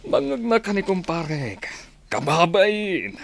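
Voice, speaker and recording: A man's voice: high-pitched, big pitch swings, slow, gravelly, dry recording, heavily acted. A man acting a stubborn, defensive, grumbling husband in an Ilocano radio drama.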